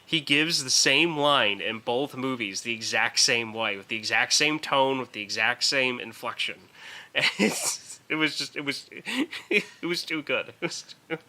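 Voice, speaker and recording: A man's voice: mid-range voice, a little slow, pushed and bright. A man co-hosting a podcast, talking casually and conversationally about films. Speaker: podcast co-host.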